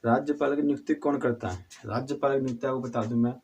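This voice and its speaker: A hoarse man with a cold teaching a civics lesson, halting and restarting often, asking questions and answering them himself. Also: medium pitch, steady tone, darkish tone. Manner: halting, with frequent restarts and throat clearing; addresses viewers as friends, asks and answers own questions.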